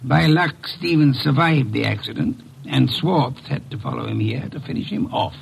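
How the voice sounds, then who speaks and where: Pompous older gourmet detective, gravelly n husky, very formal, vintage radio mystery. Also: male voice, medium pitch, lively intonation, exaggerated voice, poor audio. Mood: lofty, explaining. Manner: pompous, formal, deliberate, precise diction. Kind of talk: 1950s radio detective drama acting.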